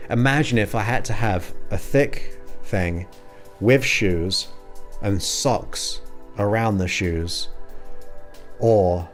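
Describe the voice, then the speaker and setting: A man's voice: on the low side, slow pace, soft background music. A man in his forties hosting a livestream, chatting casually and rambling as he thanks viewers by name. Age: 40s. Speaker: livestream host. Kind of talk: casual chat with live viewers.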